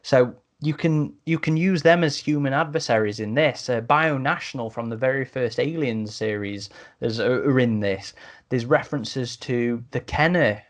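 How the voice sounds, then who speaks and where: A man with a medium-pitched voice hosts a casual podcast discussion. He feels his way through sentences, doubling back on words as he goes. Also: normal speed. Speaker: podcast host.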